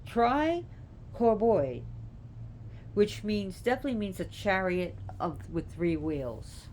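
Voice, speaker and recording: A woman at home slowly talking an online audience through old lore, feeling for each word, with noise behind her. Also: medium pitch, sing-song, darkish tone. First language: not Gaelic. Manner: halting, searching for words. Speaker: presenter explaining names of a Celtic deity. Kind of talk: informal unscripted lecture. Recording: clear background noise.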